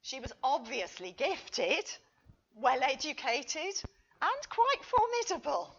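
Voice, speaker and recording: Woman, high lively voice, academic lecture read to an audience, emphatic delivery, slightly muffled lo-fi sound. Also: takes it slowly. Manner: expressive, emphatic delivery with wry asides. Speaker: academic conference speaker reading a history paper.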